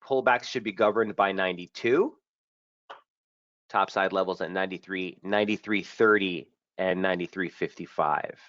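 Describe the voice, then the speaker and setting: A man hosting a live trading webinar, talking through charts in a brisk, casual way with many fillers, a bit hoarse from feeling under the weather. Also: low-pitched, a bit bright, no room sound. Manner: brisk, casual trader talk with frequent fillers. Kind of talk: live market analysis webinar. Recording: dull, dry lo-fi stream audio.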